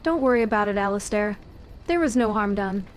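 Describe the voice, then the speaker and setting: A woman voicing a calm, caring young female warrior heroine in a video game, speaking in a measured, gently probing way. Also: medium pitch, close to an everyday voice. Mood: reassuring. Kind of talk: video game dialogue acting. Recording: music behind game dialogue.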